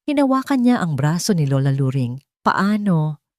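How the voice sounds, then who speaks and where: A woman's voice: sits low, animated, normal speed, darkish tone, dry recording, clean studio sound. A woman narrating an audiobook in a dramatic, scripted storytelling style.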